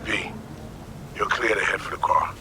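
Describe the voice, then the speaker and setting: An actor playing a composed, watchful veteran spy handler in a video game, giving measured, businesslike instructions. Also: male voice, on the low side, steady tone, background noise, close to an everyday voice. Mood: focused, directing. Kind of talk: cinematic video game acting.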